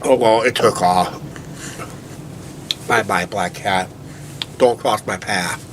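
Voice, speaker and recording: A man's voice: fairly low pitch, takes it slowly, background noise. A man with a stuffy, blocked nose chatting casually over a beer at night outside by a shed, rambling with lots of "uh, basically" fillers into a camera on a tripod. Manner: meandering, filler-heavy, "uh, basically" talk. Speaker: co-host of a vlog channel. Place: outdoors at night near a shed.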